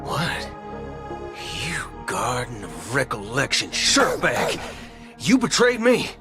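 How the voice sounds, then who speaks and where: An actor playing a brash, scheming cyborg cowboy ranger in a video game, talking with theatrical swagger. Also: male voice, medium pitch, wildly swooping pitch, takes it slowly, music in the background. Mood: brusque, angry.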